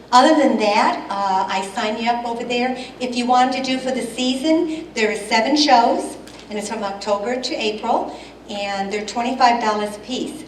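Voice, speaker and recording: A woman heading an event committee, loudly and chattily explaining rules at a club meeting. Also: a little high, slight background noise. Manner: chatty, explains rules, loud without a microphone. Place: meeting hall. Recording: echoey hall, voice from far off.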